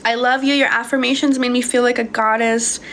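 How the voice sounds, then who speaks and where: A woman's voice: fairly high pitch, little pitch movement, a little fast, a bit bright, slight background noise. A young woman from Hungary answering viewers' questions about manifesting in a casual, swearing livestream chat. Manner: casual, swears freely, calls viewers babe. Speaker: manifestation coach. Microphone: phone.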